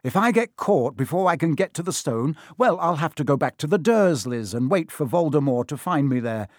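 A man's voice: medium pitch, expressive, normal speed, dry recording, clean studio sound, natural voice. A man voicing a brave, headstrong schoolboy hero in a storybook reading, speaking with urgent insistence. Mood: impassioned.

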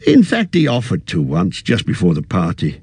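Petulant elderly hobbit, high quavering voice, lively swings, as a man reading puts him on. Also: moderate pace, slightly rough, dry recording, full character voice. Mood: fond. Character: fussy elderly male hobbit, turning possessive.

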